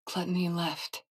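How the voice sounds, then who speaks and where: A woman's voice: lowish voice, fairly bright tone, husky, exaggerated voice. A woman voicing a composed, protective female squad soldier in game dialogue, sober and firm as she explains. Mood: somber, reluctant. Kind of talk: English game voice acting, dramatic exposition.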